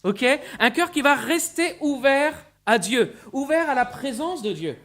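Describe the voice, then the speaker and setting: A man from Brittany preaching as a guest pastor in a church, telling his testimony with frequent repeated words and earnest pauses. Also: extremely high pitch, expressive, a bit bright. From Brittany, France. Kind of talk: sermon with personal testimony. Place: church sanctuary with mezzanine.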